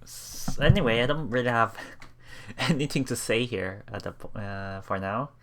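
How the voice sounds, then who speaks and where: A man reading visual novel text aloud as a let's play host, with casual commentary and a halting delivery that repeats phrases. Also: medium pitch, noisy background. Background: game music.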